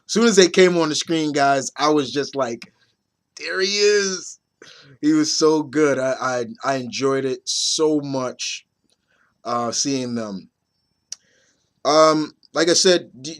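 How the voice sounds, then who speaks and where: Slightly raspy man reviewing casually. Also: medium pitch. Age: 30s. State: mildly under the weather with a nagging cough. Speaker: movie reviewer. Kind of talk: casual vlog review talk to viewers.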